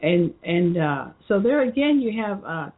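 A woman hosting her own show, talking through an unscripted commentary in a halting way with many fillers and restarts. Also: medium pitch, big pitch swings. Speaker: show host. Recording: muffled phone-line audio.

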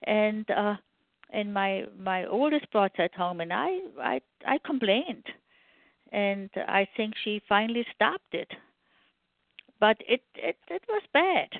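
A woman's voice: medium pitch, lively intonation, slow, no room sound. An older woman with a German accent, a radio guest telling about her past with many fillers and restarts. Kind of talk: interview conversation. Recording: tinny phone line.

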